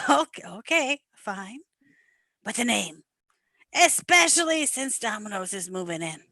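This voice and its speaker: A woman's voice: mid-range voice, sing-song, unhurried, pushed and bright, no room sound, clean studio sound, heavily acted. A woman playing an eager, chatty, insistent restaurant sidekick to a mobster in an amateur comic radio play.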